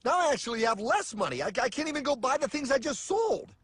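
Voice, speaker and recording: A man's voice: very high voice, very animated, fast, fairly bright tone, breathy edge, no room sound, full character voice. An actor playing a naive, easily flustered cartoon everyman with a hesitant, questioning way of talking. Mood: dismayed. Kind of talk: animated cartoon voice acting.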